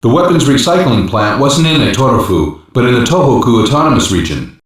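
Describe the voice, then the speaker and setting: A strongly echoing, far-off male voice acted by a man plays a gruff intelligence hacker in a sci-fi video game. He reports technical findings in a clipped, matter-of-fact way, like a focused team member briefing a commander. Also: mid-range voice, sing-song, brisk, close to an everyday voice. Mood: serious, focused. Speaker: voice actor in an English dub. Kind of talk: scripted video game voice lines, mission briefing. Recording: very echoey, distant-sounding game audio capture.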